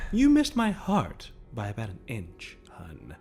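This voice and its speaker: Purring, languid flirtatious demon. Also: male voice, lowish voice, gravelly, warm tone, heavily acted. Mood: mocking amusement. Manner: languid, teasing, drawn-out phrasing with pet names. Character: purring, mischievous, flirtatious male demon, menacing and theatrical. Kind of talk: audio drama acting.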